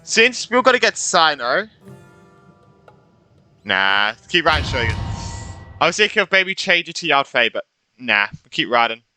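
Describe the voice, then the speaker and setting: A man's voice: on the high side, sing-song, a little slow, pauses often, bright, forceful tone. A young man giving casual, informal and rambling commentary as he plays through a video game. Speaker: gaming YouTuber. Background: game music.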